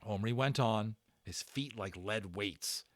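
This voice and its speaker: A man narrating a children's novel in a theatrical way, stressing words and pausing for drama. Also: fairly low pitch, a bit bright, no echo, studio quality. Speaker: audiobook narrator and channel host. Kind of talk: dramatic read-aloud storytelling of a children's novel.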